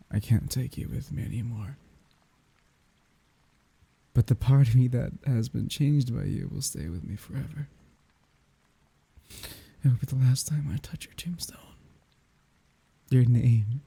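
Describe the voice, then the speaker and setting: Man acting a husky, dark-voiced grieving lover. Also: mid-range voice, heavily acted. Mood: resigned, tender. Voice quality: husky, breathy, often dropping into whispers. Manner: halting, slow, tender. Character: grieving man saying farewell at his dead lover's grave. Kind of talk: intimate ASMR roleplay monologue.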